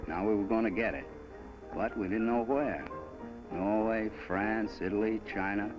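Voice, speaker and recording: A man's voice: fairly low pitch, steady tone, normal speed, natural voice. A man playing a plain-spoken young American private, folksy and laconic, telling his story for a 1940s war film. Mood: wry, matter-of-fact. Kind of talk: 1940s wartime film narration in character. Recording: dull vintage film soundtrack, loud music.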